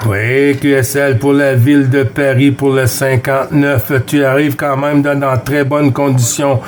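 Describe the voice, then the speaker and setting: Quebecois guy running cb contacts, slow delivery, friendly, repeats himself a lot. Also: medium pitch, flat delivery, a little hiss or hum. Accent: Québécois. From Quebec, Canada. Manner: friendly, chatty, courteous, often repeats himself. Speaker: CB radio operator running a contact net. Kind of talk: CB radio contact chat.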